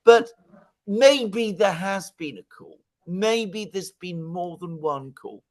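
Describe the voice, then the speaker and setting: A man's voice: sits high, expressive, slow pace, a little mellow. A man giving conversational political analysis as a guest commentator, speaking emphatically and repeating words for stress.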